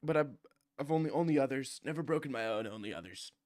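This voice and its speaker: A young man chats loosely with his stream audience, joking and boasting in mock seriousness. His voice sits at an ordinary mid pitch and sounds dry and close, with no room echo. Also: expressive, fast, darkish tone. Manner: joking, teasing banter with mock bravado. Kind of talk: casual live-stream chat answering viewer comments.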